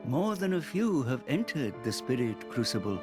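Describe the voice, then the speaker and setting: An actor playing a solemn, wise old village guardian in a video game cutscene, with a husky voice. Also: male voice, mid-range voice, sing-song, normal speed, music in the background, natural voice. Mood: grave.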